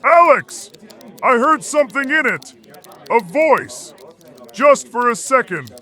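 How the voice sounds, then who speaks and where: An actor as a jittery young man working in a call center, from an audio drama. His voice is sharp and swings widely in pitch. He talks in urgent, insistent bursts, suspicious of everything. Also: sing-song, slow pace, full character voice. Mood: panicked insistence. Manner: urgent, short insistent phrases. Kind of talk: scripted audio drama acting.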